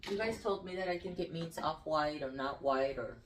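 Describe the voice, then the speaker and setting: A woman's voice: sits low, normal speed, slight background noise. A woman vlogger chatting casually about her nail polishes, with a nasal, congested voice, rambling upbeat with frequent ums and little laughs. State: full after eating. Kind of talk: casual chatty product talk.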